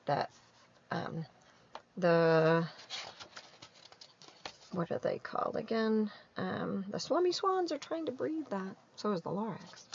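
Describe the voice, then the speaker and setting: A woman reads a picture book to young listeners at a slow pace. She is teacherly, breaking off to explain and prompt with short questions. The recording sounds slightly dull. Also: mid-range voice, expressive, vocal fry, slight background noise. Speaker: read-aloud storyteller. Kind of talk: reading a picture book to children with explanatory asides.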